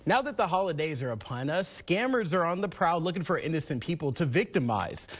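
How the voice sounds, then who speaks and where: A man's voice: on the high side, sing-song, brisk, a bit bright. A man working as a morning news anchor, presenting and interviewing in broadcast news style.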